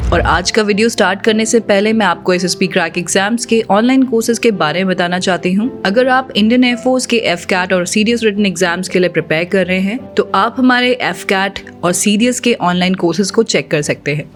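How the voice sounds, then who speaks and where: Indian female presenter, scripted explainer read, formal delivery with English terms, mid-range pitch, background music. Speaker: presenter of an exam-preparation channel. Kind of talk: scripted informational narration.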